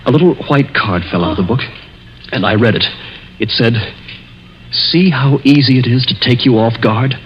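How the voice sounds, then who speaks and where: A man's voice: medium pitch, moderate pace, natural voice. An actor playing a nerve-racked young man hunted by his stepfather, tense and dramatic in the 1940s radio style. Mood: tense, bitter. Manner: tense, agitated, dramatic delivery. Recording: dull, noisy old 1940s radio recording.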